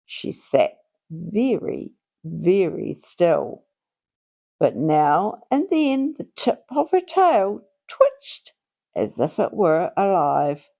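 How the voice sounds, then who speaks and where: Slow, very animated woman storyteller. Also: fairly low pitch, gravelly. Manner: expressive, deliberate, drawn-out phrasing for young children. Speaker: children's storyteller. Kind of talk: read-aloud storybook narration.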